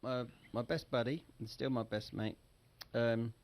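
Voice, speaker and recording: A middle-aged English man with an English accent, a singer telling relaxed, chatty stories as an interview guest. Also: medium pitch, unhurried. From England. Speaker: guest, Sinatra tribute singer. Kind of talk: casual interview storytelling.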